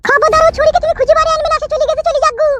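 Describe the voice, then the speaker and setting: A woman voicing a brazen, argumentative young cartoon wife with a rural West Bengal Bengali accent and a sharp tongue. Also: very high voice, fairly even intonation, racing. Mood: indignant. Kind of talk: comic cartoon dubbing.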